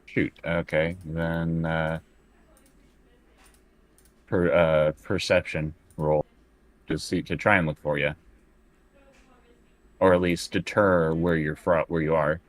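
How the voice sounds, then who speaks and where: A man's voice: a bass voice, little pitch movement, slow, pauses often, no echo, rough recording. A man talking casually as a player in a tabletop roleplaying game.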